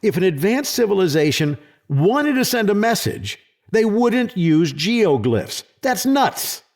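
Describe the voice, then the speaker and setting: A man's voice: high-pitched, very animated, unhurried, darkish tone, heavily acted. A man playing a defensive, sarcastic presidential secretary in a sci-fi audio drama, arguing sceptically. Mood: dismissive. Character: combative, skeptical presidential secretary guarding his turf.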